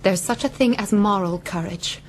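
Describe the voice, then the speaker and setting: A woman's voice: mid-range voice, moderate pace, slight background noise, natural voice. An actress playing a composed, principled lady castaway, speaking politely and earnestly in a radio drama. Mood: earnest, reproachful.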